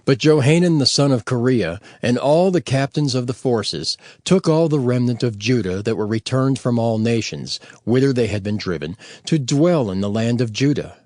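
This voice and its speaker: A man narrating scripture from a script in a measured, formal and expressive manner. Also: mid-range voice, no echo, studio quality.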